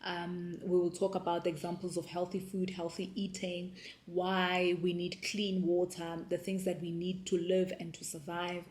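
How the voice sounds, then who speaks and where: A woman in her thirties with a South African accent, talking through her homeschool plans in a relaxed, casual way. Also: fairly low pitch, moderate pace, echoey room. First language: not Afrikaans. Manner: relaxed, many ums and restarts. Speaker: homeschooling mom and vlogger. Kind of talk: casual explainer vlog.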